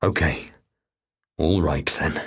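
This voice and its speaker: A man's voice: a bass voice, slow, slightly rough, voice pushed down, exaggerated voice. A man voicing an anxious, bewildered father who sounds hesitant and pleading in an audiobook thriller. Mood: uneasy, resigned.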